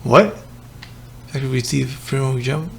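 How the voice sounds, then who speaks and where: A man's voice: medium pitch, moderate pace, creaky, a little hiss or hum. A man giving casual gameplay commentary as a streamer. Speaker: game streamer. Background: game music.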